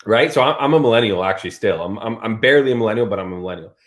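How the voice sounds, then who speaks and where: A man in his thirties in casual chat, telling stories with lots of fillers and lively swings in his voice. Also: on the low side, rapid-fire. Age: 30s. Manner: animated storyteller, many fillers.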